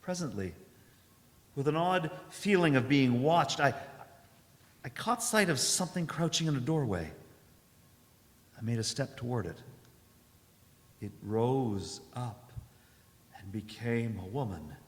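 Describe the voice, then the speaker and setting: A man's voice: mid-range voice, lively intonation, close to an everyday voice. Man acting a haunted wanderer in a reverberant hall. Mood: solemn, haunted. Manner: halting and uncertain, dramatic solemn delivery. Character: haunted, weary male wanderer narrating his survival. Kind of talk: live stage radio drama acting. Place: church hall stage, live performance. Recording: echoey hall, live performance.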